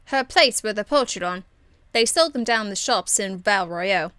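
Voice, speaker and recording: A woman voicing a cheeky, irreverent young female rogue archer in an amateur game voice demo, blunt, slangy and excitable. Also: medium pitch, moderate pace, dry recording, natural voice.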